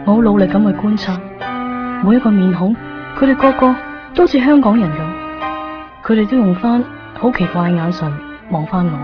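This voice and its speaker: An actress playing a quiet, terse young woman visiting Tokyo who narrates her own search in a radio play. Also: mid-range voice, close to an everyday voice. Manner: subdued, terse. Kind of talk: 1990s radio drama acting. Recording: dull lo-fi 1990s radio with music.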